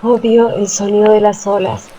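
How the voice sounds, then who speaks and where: A woman's voice: medium pitch, flat delivery, moderate pace, mellow, lightly acted. An actress playing a bitter, querulous woman complaining in a radio drama scene. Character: bitter complaining woman on a beach, resentful of gift. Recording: dull lo-fi audio with noise.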